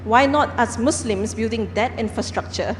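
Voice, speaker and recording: A woman's voice: on the high side, normal speed. Earnest woman panelist in an echoey hall. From Singapore. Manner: earnest, with frequent fillers and restarts. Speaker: panel speaker, company founder. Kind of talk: panel discussion. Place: large conference hall. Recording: echoey hall, faint background noise.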